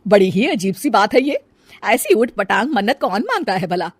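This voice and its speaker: A woman voicing a bossy middle-aged mother-in-law in a cartoon story, loud, questioning and scolding. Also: mid-range voice, very animated, normal speed, husky, no room sound. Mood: puzzled. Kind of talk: Hindi cartoon moral story.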